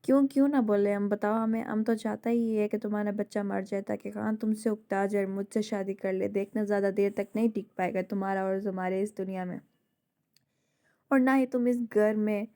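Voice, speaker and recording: A woman's voice: medium pitch, steady tone, a little fast, mellow, natural voice. A woman voicing a spiteful jealous young woman. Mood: venomous. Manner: venomous, taunting.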